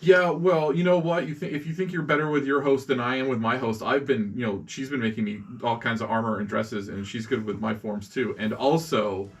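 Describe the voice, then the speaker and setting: A man's voice: mid-range voice, fairly even intonation, brisk, few pauses, recorded from a distance, natural voice. Man voicing a chatty, boastful magical item. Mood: defensive boasting. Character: talking magical item, chatty, boastful, defensive about its host.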